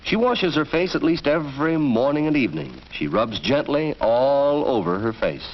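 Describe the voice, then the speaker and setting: A man's voice: medium pitch, expressive, moderate pace. An American man narrating a 1940s educational film from a script, in an instructive, storytelling manner. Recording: dull vintage film soundtrack, faint noise.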